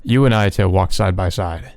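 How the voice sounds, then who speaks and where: A man narrating a visual novel aloud in a steady storytelling delivery. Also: low-pitched, moderate pace, vocal fry. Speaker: let's play narrator.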